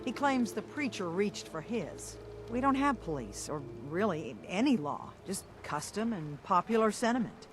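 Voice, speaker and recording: A woman acts out a weary, diplomatic harbor town leader in a video game. She is plain-spoken and measured, a firm peacekeeper who hesitates as she chooses words. Music plays clearly behind her. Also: medium pitch, very animated, lightly acted. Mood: firm, exasperated. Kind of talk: video game dialogue acting.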